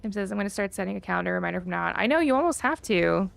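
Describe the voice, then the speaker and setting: A woman's voice: medium pitch, lively intonation, very fast, vocal fry. A woman chats casually while live streaming a game, thinking aloud in a rambling, self-deprecating way.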